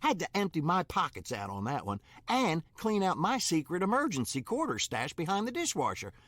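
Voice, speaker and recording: A man's voice: fairly high pitch, huge pitch swings, moderate pace, a bit bright, no echo, exaggerated voice. A man playing a flustered, dim small-town shop worker in a comedic audio drama, stammering and rambling in a folksy, nervous way. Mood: rambling, sheepish.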